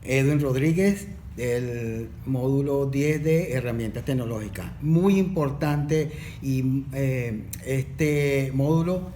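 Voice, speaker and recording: A man's voice: medium pitch, darkish tone, slight background noise. A man, a course facilitator on a podcast, who speaks hesitantly with frequent fillers. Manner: hesitant, full of "este" fillers, uneven pace. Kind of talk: podcast interview. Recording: roomy echo.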